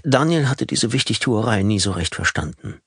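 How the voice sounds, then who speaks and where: A man reading an audiobook in a calm, measured storytelling manner, close to a studio microphone. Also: fairly low pitch, slightly rough, no echo. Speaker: audiobook narrator. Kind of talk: scripted audiobook narration.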